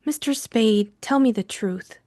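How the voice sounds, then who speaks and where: A woman voicing a timid, troubled young female client in an audiobook, hesitant and pleading, with a hushed, trembling delivery. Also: on the high side, unhurried, dry recording, clean studio sound, pitched above the performer's own voice. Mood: desperate. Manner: hushed, hesitant, quivering, stammering. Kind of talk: audiobook character acting.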